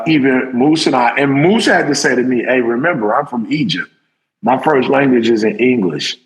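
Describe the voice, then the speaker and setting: A man hosting a panel talk, retelling conversations in a lively, animated way. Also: a little high, vocal fry, low-quality recording. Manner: animated storytelling, acts out quotes. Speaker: podcast host. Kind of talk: casual panel chat.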